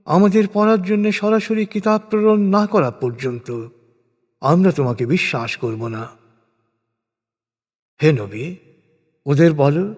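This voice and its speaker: Man, fairly high pitch, solemn scripture narration, measured phrasing, deliberate pauses, big echoing hall reverb. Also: darkish tone. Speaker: scripture translation reciter. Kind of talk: scripted reading of Quran meaning in translation.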